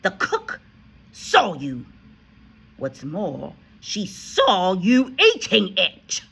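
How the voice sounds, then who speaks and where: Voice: medium pitch, big pitch swings, extremely slow, creaky, fairly bright tone, slightly rough, pitched above the performer's own voice, exaggerated voice. A reader voicing a huge, tyrannical headmistress who barks and screams insults at a pupil in a storybook reading. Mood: ranting accusation. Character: furious bullying female headmistress, huge and tyrannical. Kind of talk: audiobook character voice.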